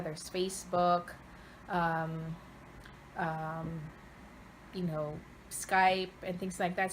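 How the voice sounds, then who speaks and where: A woman, answering interview questions conversationally and pausing often mid-phrase. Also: mid-range voice, slight background noise, low-quality recording. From Philippines, Cavite province. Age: 30s.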